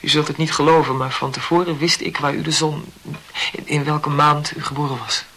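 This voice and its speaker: A man's voice: a little high, level tone, fairly quick, sharp tone, a little hiss or hum. An actor playing an awkward, earnest young man who talks hesitantly and corrects himself in a radio play.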